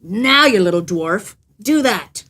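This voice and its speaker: A woman voicing a huge, contemptuous male giant in a storybook, challenging and blustering, with slow, emphatic delivery. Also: medium pitch, expressive, fairly bright tone. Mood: scornful.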